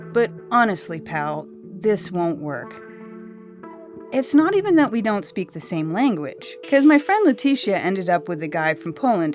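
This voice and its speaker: A woman reading a comic story as a chatty, blunt young woman abducted by aliens, with a breezy, emphatic, slangy delivery. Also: lowish voice. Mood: apologetic, blunt. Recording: music under the voice. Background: music.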